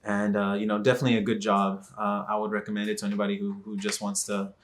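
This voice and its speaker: Rambling young Texas man, low voice. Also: monotone, fast. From Dallas, Texas. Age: 20s. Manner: rambling, many fillers and restarts. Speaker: vlogger. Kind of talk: casual talk to camera.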